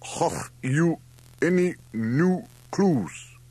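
Angry foreign customs officer, emphatic. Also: male voice, fairly high pitch, sing-song, darkish tone, breathy edge, voice pushed up, full character voice. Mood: impatient. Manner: ominous, emphatic, drawn-out words.

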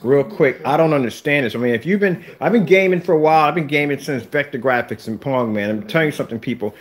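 A man's voice: medium pitch, fast talker. A man, chatting casually to viewers in his home theater room and repeating himself as he rambles. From Philadelphia. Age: 50s. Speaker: screen paint vlogger. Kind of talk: casual chat to viewers while livestreaming.

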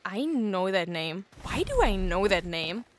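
A woman playing an eager, nosy character in an audio drama, blurting and rambling excitedly. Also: medium pitch, unhurried, a little hiss or hum. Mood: excited, curious.

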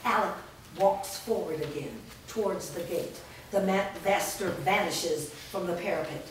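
A woman's voice: lowish voice, unhurried. Echoey, distant woman reading stage directions. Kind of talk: scripted narration at a staged reading. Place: large bare room with small audience, indoors. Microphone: distant camera microphone. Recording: echoey room, distant mic, faint noise.

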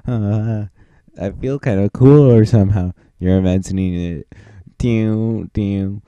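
A man's voice: on the low side, slow, dark tone, smooth, dry recording, lo-fi sound. A man doing a goofy, improvised parody dub as an amateur voice performer, with crude joking and silly repeated nonsense. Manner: silly, repetitive nonsense ad-libbing.

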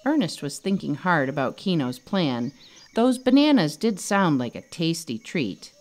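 A woman reading a children's story as narrator, expressive and dramatic. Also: deep voice, unhurried. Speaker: author reading own storybook.

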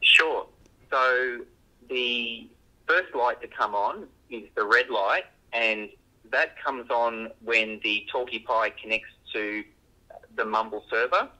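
A man heard over a walkie-talkie style link, giving a halting, unscripted explanation in an interview. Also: mid-range voice, sharp tone, lo-fi sound. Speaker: engineer being interviewed.

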